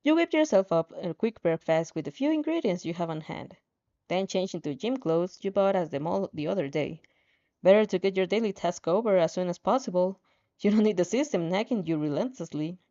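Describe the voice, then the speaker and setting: A woman's voice: on the low side, animated, fairly quick, mellow, lo-fi sound. A woman reading a story aloud, often stumbling and repeating words as she narrates. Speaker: fan fiction narrator.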